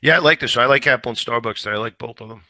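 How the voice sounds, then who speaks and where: A man hosting a stock trading show, giving live market commentary in a conversational way, a little hoarse today and repeating words as he thinks. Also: mid-range voice, rapid-fire, pushed and bright, dry recording, studio quality. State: slightly hoarse.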